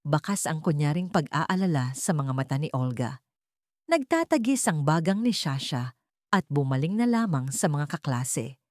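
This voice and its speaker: A woman's voice: sits low, very animated, moderate pace, darkish tone, no echo, studio quality. A woman reading scripted dramatic fiction as a storyteller. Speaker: story narrator.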